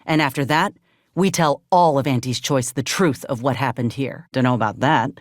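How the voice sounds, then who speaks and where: Grim soldier outcast, studio-dry. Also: female voice, very deep voice, moderate pace, dry recording. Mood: firm, determined. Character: grim, resolute outcast woman soldier, drawling and principled. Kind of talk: video game character dialogue.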